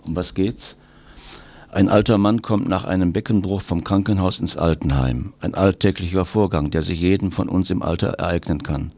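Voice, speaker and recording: Low-pitched man reading radio event listings. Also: moderate pace, vocal fry, warm tone. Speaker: radio presenter.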